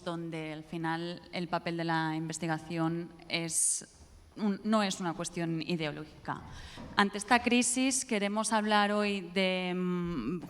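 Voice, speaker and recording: A woman's voice: mid-range voice, smooth, long reverb tail. A woman moderating a course session, thanking one speaker and introducing the next.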